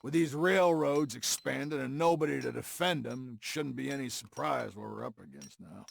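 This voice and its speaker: An actor playing a sly, boastful old western sheriff in a video game, gravelly, folksy and mocking. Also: male voice, mid-range voice, lively intonation, normal speed. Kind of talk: scripted game cutscene acting.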